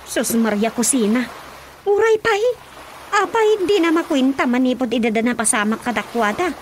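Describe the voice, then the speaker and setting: A woman acting a nosy, sharp-tongued, easily scandalised villager in an Ilocano radio comedy-drama, full of questions and exclamations. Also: high-pitched, lively intonation, normal speed, a little hiss or hum. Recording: faint music under the dialogue. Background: faint background music.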